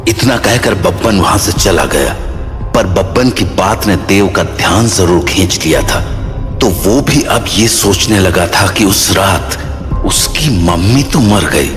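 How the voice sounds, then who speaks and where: A man's voice: sits low, expressive, measured pace. A man narrating a scripted horror story as its storyteller. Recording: long reverb with clear music bed.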